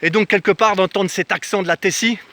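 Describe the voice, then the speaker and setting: A man from France with a fairly high, bright and forward voice tells a travel anecdote as a vlogger. He talks casually and with animation, speaking straight to the viewer and slipping into reported dialogue. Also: fairly even intonation, fairly quick. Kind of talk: casual personal storytelling to camera. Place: outdoors in the countryside.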